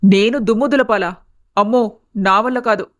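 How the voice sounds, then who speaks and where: A woman playing a grumbling, whiny middle-aged mother-in-law in an animated family story. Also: fairly high pitch, moderate pace, dry recording, studio quality, natural voice. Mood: indignant. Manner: whiny, complaining.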